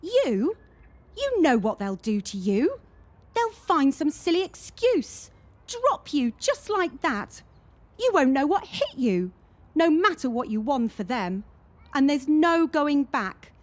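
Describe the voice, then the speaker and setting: An actress playing a wary, cynical young gymnast in a radio drama, with a deliberate, emphatic delivery. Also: female voice, sits high, sing-song, heavily acted. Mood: cynical warning. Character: wary, cynical female gymnast warning her teammate.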